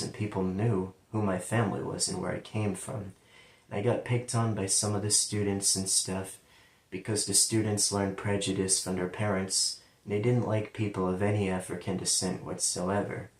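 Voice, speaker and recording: A man's voice: deep voice, little pitch movement, moderate pace. A man with a central Ohio accent, sometimes saying L as a W, telling his life story in a casual, rambling monologue. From London, Ohio, USA. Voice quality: sometimes turns L into a W sound. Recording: roomy, distant from the mic.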